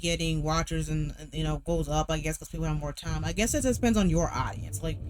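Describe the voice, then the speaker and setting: High-voiced man rambling over loud game music. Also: fast talker. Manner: rambling, repeats phrases. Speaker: game streamer. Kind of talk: casual gaming commentary. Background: video game soundtrack.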